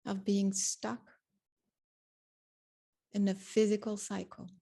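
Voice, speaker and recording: A woman leading a guided meditation, giving spoken instructions in deliberate short phrases with long pauses between them. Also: mid-range voice, level tone, vocal fry, mellow.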